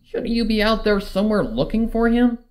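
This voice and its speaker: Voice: mid-range voice, lively intonation, brisk, a little mellow, clear, resonant tone, voice pushed up, natural voice. A reader voicing a brash, blunt female cartoon pegasus pony with a pushy, demanding manner. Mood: accusing. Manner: confrontational, demanding.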